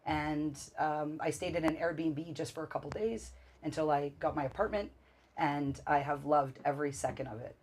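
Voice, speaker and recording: A woman's voice: deep voice, steady tone, normal speed, slight background noise. A woman in her forties from Canada, a guest telling stories eagerly in a casual interview. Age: 40s. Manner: warm, enthusiastic storytelling with many fillers.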